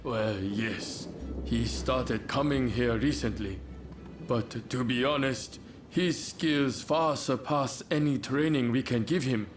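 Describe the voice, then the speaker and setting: A man's voice: medium pitch, takes it slowly, music in the background, natural voice. An actor playing a humble, courteous male sword-school instructor in a video game, speaking politely and deferentially. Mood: grateful, modest. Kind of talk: video game cutscene acting.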